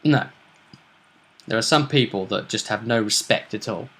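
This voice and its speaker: A man's voice: lowish voice, normal speed, a bit bright. A man hosting a role-play vidcast, chatting casually through a game recap in a jokey, sweary way.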